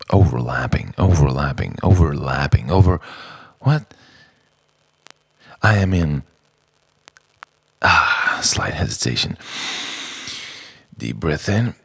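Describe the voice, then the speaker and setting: A low-pitched man performs spoken-word poetry with big swings in pitch, from hushed murmurs to raised, dramatic phrases. His delivery is slow and halting, and he circles back on words like a refrain. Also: gravelly, slightly rough, dry recording. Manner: theatrical, halting, swinging between hushed murmurs and loud outbursts.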